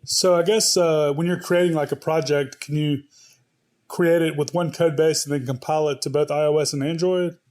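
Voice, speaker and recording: A man's voice: on the high side, steady tone, normal speed, a little mellow. A man hosting a podcast in a conversational style, asking questions in an easygoing, informal way. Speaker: podcast host, interviewer.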